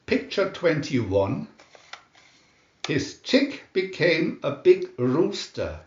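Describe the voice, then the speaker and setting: A man narrating a scripted children's storybook in a deliberate, expressive storytelling manner. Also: on the high side, roomy sound, dull audio.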